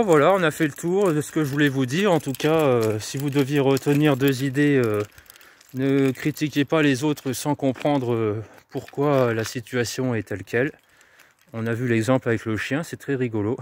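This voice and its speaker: A man from France chatting casually to camera while walking outdoors, rambling and upbeat about personal development. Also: medium pitch. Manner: chatty, rambling, self-promoting, laughs at himself. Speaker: YouTuber and personal development coach. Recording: faint street noise and jangling keys.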